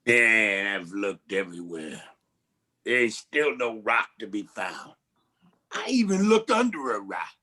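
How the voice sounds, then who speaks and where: An actor playing a glib, wisecracking 1940s private detective in a campy noir radio play. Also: male voice, on the low side, big pitch swings, slow pace, bright, forceful tone, exaggerated voice. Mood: cocky. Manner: glib, slangy.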